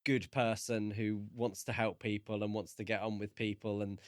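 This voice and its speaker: A man co-hosting a podcast, chatting casually about a book and reasoning his way through in hesitant, measured phrases. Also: fairly low pitch, flat delivery, dry recording, clean studio sound. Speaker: podcast co-host. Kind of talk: casual book discussion chat.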